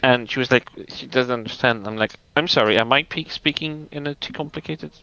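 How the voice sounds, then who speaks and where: A man's voice: medium pitch, a little fast, pushed and bright, clear, resonant tone, dry recording, lo-fi sound. A man who is not a native English speaker, venting in casual chat as a stream co-host. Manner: frustrated venting, restarts often.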